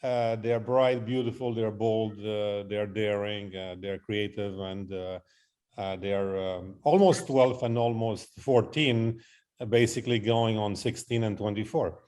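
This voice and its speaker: Middle-aged man, halting and reflective. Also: on the low side, fairly even intonation, a little mellow. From Romania. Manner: halting, reflective, lists things out. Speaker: interview guest, artist and photographer. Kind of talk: interview conversation.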